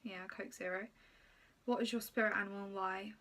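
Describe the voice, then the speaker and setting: A woman's voice: medium pitch, steady tone, fairly quick, fairly bright tone. A woman in her twenties with Polish as her first language, chatting casually as she reads out and answers get-to-know-me questions with plenty of ums. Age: 20s. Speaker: YouTuber.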